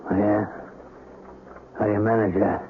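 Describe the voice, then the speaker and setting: A male actor as a streetwise blackmailer in a vintage radio crime play. He speaks in a low register, clipped and cagey, always calculating. The sound is narrow and hissy, like an old radio tape. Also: flat delivery, moderate pace, natural voice. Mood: cagey, probing. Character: smooth, calculating male blackmailer and restaurant owner. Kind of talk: old-time radio crime drama acting.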